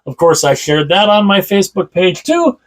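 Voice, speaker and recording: A man with a slightly hoarse voice, chatting casually about hockey as a channel host, rambling and repeating himself with enthusiasm. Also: high-pitched, sing-song, moderate pace. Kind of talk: casual solo commentary to camera.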